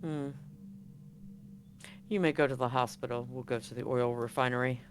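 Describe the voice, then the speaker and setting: A man's voice: mid-range voice, steady tone, normal speed, lightly acted. A man playing a cold, arrogant female corporate agent in a tabletop game, speaking with dismissive control. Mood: smug. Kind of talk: tabletop roleplay acting. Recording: live stream with background noise.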